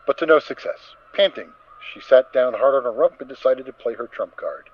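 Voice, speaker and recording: A man narrating a story as a dramatic reading, with expressive, theatrical emphasis. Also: mid-range voice, moderate pace. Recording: dull recording with faint noise.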